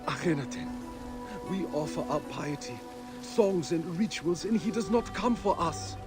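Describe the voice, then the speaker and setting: A man's voice: a little high, animated, unhurried, over music. An actor playing a devout male sun-cult leader who chants hymns and speaks with solemn fervor in a video game. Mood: pleading. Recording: game audio with loud score.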